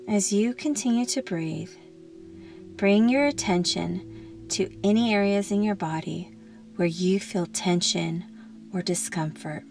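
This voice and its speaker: A woman leading a guided meditation in a gentle, soothing, unhurried manner, leaving long pauses between phrases. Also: mid-range voice, gravelly, soft background music.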